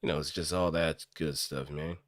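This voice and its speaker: A man chatting casually as a streamer, talking in an animated, rambling way. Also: very deep voice, moderate pace, no echo, studio quality. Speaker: streamer practicing voice acting. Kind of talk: casual livestream chat.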